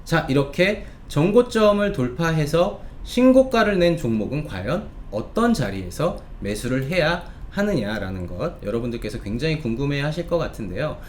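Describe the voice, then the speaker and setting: A man presents stock picks as the host of an online review show. His voice sits a little high and swings animatedly up and down. He speaks politely and formally, stretching hesitations between phrases, with noticeable ambient noise behind him. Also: very animated, normal speed, few pauses.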